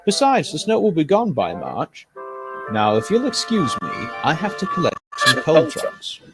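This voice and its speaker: A cheeky, kindly old steam engine, voiced by a man, polite with a playful edge. His voice lifts and dips in a lively way. Soft music sits underneath. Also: on the high side, moderate pace, pitched above the performer's own voice, rough recording.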